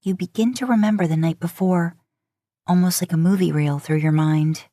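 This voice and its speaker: A woman narrating scripted romantic fiction in a measured, expressive storytelling manner. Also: fairly low pitch, dry recording, clean studio sound. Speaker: podfic narrator.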